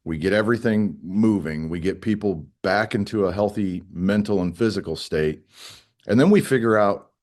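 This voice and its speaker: A man's voice: fairly low pitch, moderate pace, darkish tone. A middle-aged man giving conversational interview answers as a union spokesman, plain-spoken and emphatic, repeating phrases for stress. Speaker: air traffic controllers' union spokesman.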